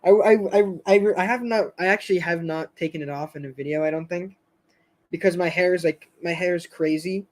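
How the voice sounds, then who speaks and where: A man hosting a casual live stream, chatting with viewers in a rambling, hesitant way full of fillers and restarts, his voice going a bit hoarse. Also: fairly high pitch, brisk. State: slightly hoarse, losing his voice.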